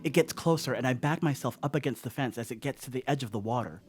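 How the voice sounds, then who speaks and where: An actor playing a rattled, rambling stoner dog sitter in a radio play monologue, telling a story conversationally with wry asides. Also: male voice, medium pitch, quick pace, over music, close to an everyday voice. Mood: rambling, uneasy.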